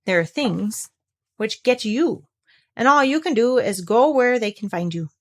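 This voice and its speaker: A woman plays a humble, simple-hearted toy bear from a children's tale. He muses earnestly and recites with a sing-song swing. The pitch is very animated. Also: a little slow. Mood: musing. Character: simple, earnest, modest male toy bear who makes up poems. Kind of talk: children's storybook read aloud.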